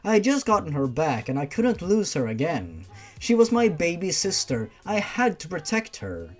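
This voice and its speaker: Expressive storyteller with lively swings in pitch. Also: normal speed. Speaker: story reader. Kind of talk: first-person narration of fan fiction story. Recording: music behind the voice. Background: music.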